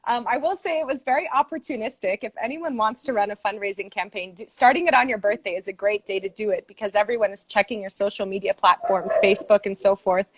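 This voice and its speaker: A woman with an American accent, a Brazilian American guest speaking earnestly on a video call, often pausing with ums and soft laughs. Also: mid-range voice, a little hiss or hum. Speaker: guest supporter. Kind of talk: casual video call conversation. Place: home, stayed in the US.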